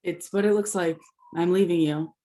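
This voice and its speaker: A woman's voice: lowish voice, steady tone, moderate pace, dark tone, lightly acted. A woman reading a cool, fed-up girlfriend. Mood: cold. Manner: cool and matter-of-fact. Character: fed-up girlfriend in her thirties.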